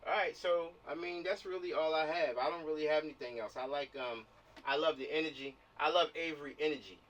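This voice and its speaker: A man's voice: a little high, brisk, fairly bright tone, muffled recording. An American man leading a casual online meeting, giving directions and repeating his phrases, heard over a video call. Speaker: film production organizer leading a meeting. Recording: distant-sounding video call audio.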